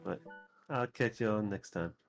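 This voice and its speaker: A young man chatting casually as a stream guest, relaxed and laughing easily. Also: lowish voice, measured pace, creaky. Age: young adult. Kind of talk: casual chat. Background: music playing.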